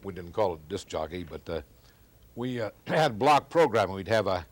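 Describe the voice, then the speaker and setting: An older American man, a veteran radio announcer, reminiscing haltingly and clearing his throat as he searches his memory. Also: low voice, sing-song. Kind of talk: reminiscing in a group conversation.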